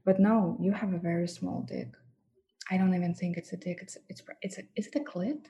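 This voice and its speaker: A woman's voice: lowish voice, steady tone, fairly quick, creaky, warm tone, highs cut off. A woman with a Russian accent, a guest talking frankly and with lots of laughter about her work, her first language Russian. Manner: frank, laughs often, mock-degrading asides. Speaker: guest, professional dominatrix. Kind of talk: candid interview chat.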